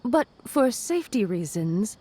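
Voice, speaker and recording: Polite, lively-pitched clerk. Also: female voice, unhurried, breathy edge. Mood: polite, businesslike. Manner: courteous, formal. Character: polite, deferential female clerk at a records desk.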